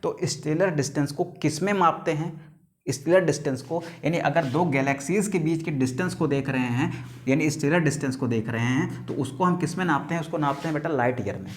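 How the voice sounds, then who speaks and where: Teacher quizzing students, higher voice. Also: male voice. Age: 30s. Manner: calls students "beta", asks questions and answers them himself; stretches key English terms out slowly, word by word. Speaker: biology teacher. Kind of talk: classroom lecture to students.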